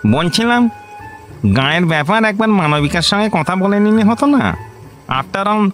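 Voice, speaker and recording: Ingratiating male underling, comic radio drama; notably high voice, deferential, faint music behind. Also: lively intonation, normal speed, fairly bright tone. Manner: tentative, deferential. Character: meek, ingratiating male subordinate officer.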